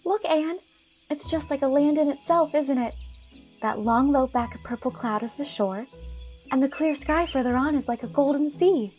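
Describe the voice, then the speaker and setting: Young country woman, voiced by an actress, earnest and wistful, high mellow voice, audiobook drama. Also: moderate pace, faint music behind, natural voice. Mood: wistful admiration. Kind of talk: dramatized audiobook character acting.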